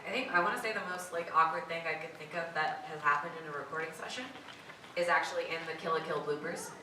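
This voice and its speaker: Low, hoarse woman in an echoey hall. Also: quick pace. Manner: chatty and self-deprecating, many fillers. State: hoarse, raspy voice. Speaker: voice actress, convention panel guest. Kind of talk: casual panel Q&A answers. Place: convention panel room. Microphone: filmed by a camera from across the room. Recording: echoey hall from far off with crowd noise.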